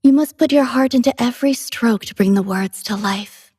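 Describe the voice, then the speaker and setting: Gentle, clear-voiced young woman. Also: a little high, normal speed, no room sound, clean studio sound. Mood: composed, instructive. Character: gentle, devoted young woman, soft-spoken and cultured. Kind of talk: scripted game character voice lines.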